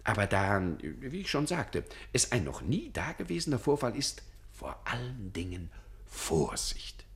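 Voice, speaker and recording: A man's voice: mid-range voice, very animated, a little slow. An actor in a German radio play playing a self-important, evasive senior civil servant who dithers officiously and draws out his phrases. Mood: cautious, evasive.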